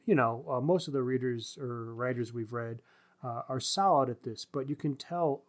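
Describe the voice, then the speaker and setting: A man hosting a casual book discussion podcast, talking in a halting way as he searches for words. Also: medium pitch. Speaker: podcast host.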